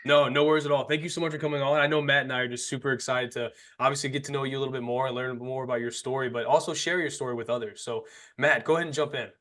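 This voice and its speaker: A man's voice: mid-range voice, steady tone, fairly bright tone. A man hosting a podcast, greeting the guest in an upbeat, casual way. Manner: upbeat, quick, stumbles over questions. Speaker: podcast host.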